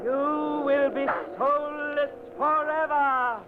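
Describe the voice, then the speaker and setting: Slow, high ghostly dead woman. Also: flat delivery, over loud music. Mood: menacing. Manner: slow, solemn, doom-laden pronouncements. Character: ghostly dead sister returning for vengeance. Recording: hissy tinny old radio broadcast.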